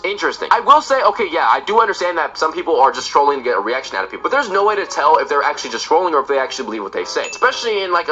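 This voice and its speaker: Fast, bright-voiced earnest young man. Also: medium pitch, dull audio. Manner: earnest, explaining, reads posts aloud. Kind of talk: commentary video.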